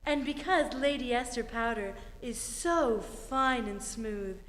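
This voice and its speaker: Actress as refined lady pitching cosmetics, vintage radio commercial, highish voice, echoing hall. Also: slow, a bit bright. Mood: persuasive, genteel. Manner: polished, persuasive. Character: genteel lady beauty expert selling face powder. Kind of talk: 1940s radio advertisement. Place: theatre stage. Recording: echoey hall, live stage recording.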